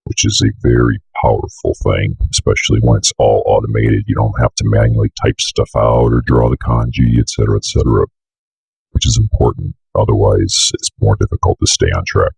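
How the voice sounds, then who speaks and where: A young man with an extremely low voice, full of heavy vocal fry. He talks in an offhand, casual way, half to himself, as he walks through a software setup. The sound is dry and close. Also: level tone, a little fast, no room sound. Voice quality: gravelly, raspy. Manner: offhand, muttering to himself while working. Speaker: tutorial host. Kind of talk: casual unscripted software walkthrough.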